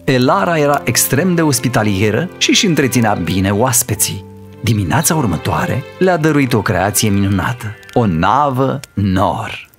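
A male storyteller narrates a children's fairy tale with lively, varied intonation. His mid-pitched voice sits over prominent music.

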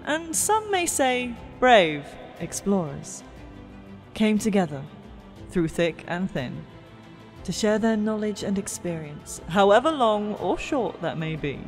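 A woman's voice: mid-range voice, sing-song, takes it slowly. A woman with an English accent playing a proud, weary storyteller and reluctant regent, theatrical and hesitant, in a fantasy audio drama. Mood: earnest, storytelling. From England. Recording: reverberant hall with music.

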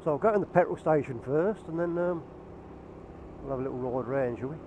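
A man's voice: on the high side, brisk. Man narrating from a motorbike. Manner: chatty, dry, self-mocking asides. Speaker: motovlogger. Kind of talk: casual running commentary while riding. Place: on a motorbike on open roads. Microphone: helmet camera mic. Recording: muffled helmet-cam audio with road noise.

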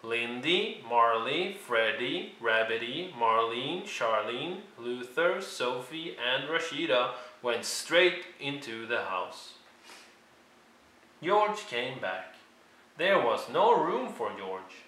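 A man narrates a picture book for young listeners at an unhurried pace. His phrasing is deliberate and expressive, with very lively pitch movement, and the room adds a noticeable echo. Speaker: picture book reader for children. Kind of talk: read-aloud storybook narration.

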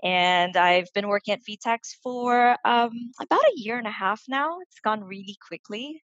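A woman's voice: medium pitch, moderate pace, fairly bright tone, no room sound, highs cut off. A woman in her thirties from the Philippines, with an international school accent, chatting as a guest on a video call over a headset. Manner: chatty, laughs often, restarts words. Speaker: guest, head of marketing enablement. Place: home room.

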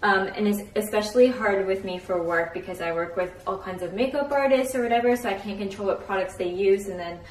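A woman's voice: mid-range voice, brisk, few pauses, slight background noise, rough recording. A young woman talking to a handheld camera in a casual vlog, chatty and enthusiastic with frequent fillers. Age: young adult. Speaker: vlogger. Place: small home kitchen, indoors. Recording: music bed underneath. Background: background music.

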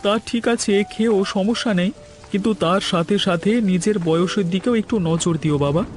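Man playing an earnest, high-voiced cartoon son. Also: fairly quick, soft background music, natural voice. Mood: concerned. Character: poor, dutiful, anxious son and young husband. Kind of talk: cartoon story voice acting.

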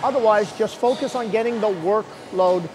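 A man in his forties, a gym coach, giving energetic, encouraging workout instructions to the camera in a large gym on a lapel mic. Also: high voice, normal speed, over music. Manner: energetic, encouraging, loose and unscripted. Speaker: gym owner and fitness coach.

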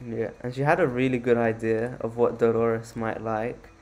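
A man's voice: medium pitch, level tone, moderate pace, darkish tone, lo-fi sound, soft background music. A man co-hosting a casual game tour, talking in a teasing, playfully boastful way. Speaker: co-host of a Roblox build tour.

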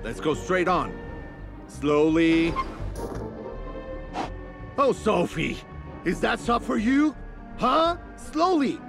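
An actor playing an excitable driving instructor who coaxes and then yells at his student, with music underneath. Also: high-pitched, extremely slow, vocal fry, pauses often, exaggerated voice. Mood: coaxing. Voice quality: shouting, exasperated. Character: excitable male driving instructor, quick-tempered.

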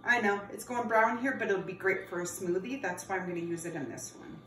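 A woman's voice: mid-range voice, a little fast, bright, forceful tone. Woman teaching kids a cooking class. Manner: friendly, explains step by step while preparing food, calls viewers friends. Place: home kitchen. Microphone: camera across the counter, no visible mic. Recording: roomy, distant camera audio with background noise.

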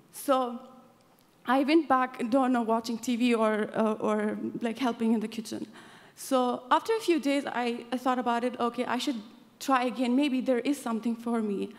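A young woman from Afghanistan tells her story at an award ceremony, her voice sitting fairly high. She speaks earnestly and pauses often on fillers. The hall lends a long reverb tail. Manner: earnest, halting with many fillers. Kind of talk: personal speech at a podium. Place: large event hall. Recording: hall reverb from a stage mic.

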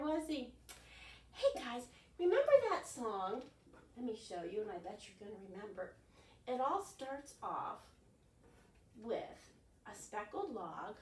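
A woman in her sixties hosting an interactive children's storytime, encouraging listeners to join in, recorded at home by a distant microphone. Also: a little high. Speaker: children's library storytime host. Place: carpeted living room at home. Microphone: distant camera microphone. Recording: roomy, mic a few metres away.

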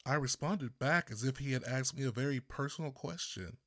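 A man from Texas reading his own first-person story as an audiobook narrator, with deliberate phrasing and pauses. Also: mid-range voice, fairly bright tone, no room sound, clean studio sound. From Texas, United States.

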